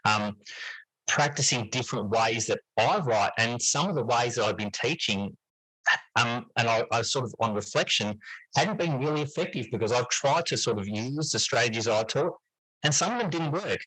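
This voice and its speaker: A middle-aged man talks as an interview guest, explaining things reflectively. His voice is bright and clear-edged, at an ordinary male pitch. The sound is dry, with very little room around it. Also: animated, normal speed, no echo, poor audio. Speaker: interview guest, teacher and writer. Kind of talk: conversational interview answers.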